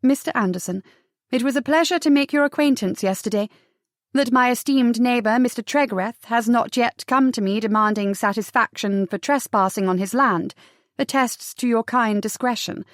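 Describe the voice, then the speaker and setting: A woman's voice: a little high, normal speed, dry recording, clean studio sound, close to an everyday voice. Lady narrator as an eloquent bold society writer, very polite and composed, reading a letter. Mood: poised, courteous. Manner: poised, formal, articulate.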